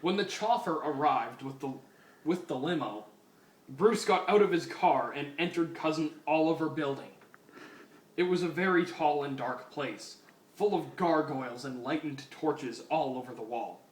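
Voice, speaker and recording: A man's voice: fairly high pitch, normal speed. A man giving a mock-serious, comedic dramatic reading of a story as its narrator. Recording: echoey room, distant mic, faint hiss.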